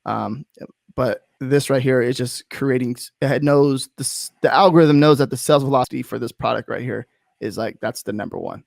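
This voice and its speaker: A man with a gravelly, creaky voice at an ordinary pitch hosts a relaxed video-call interview. He rambles, restarts his sentences and leans on fillers. The sound is dry and close. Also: moderate pace, no room sound. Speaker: host.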